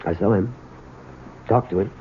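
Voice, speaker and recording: A man's voice: on the low side, moderate pace, husky, phone-quality sound. A man acting in an American 1970s radio drama as a bitter, struggling gas station owner with a jailbird father. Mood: grim. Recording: noisy, thin old radio broadcast recording.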